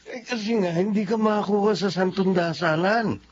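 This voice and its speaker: A man's voice: high-pitched, normal speed, breathy edge. A man playing a lecherous, menacing rich Filipino boss, smug and threatening, in radio drama style. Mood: smug menace. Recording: dull audio with background noise.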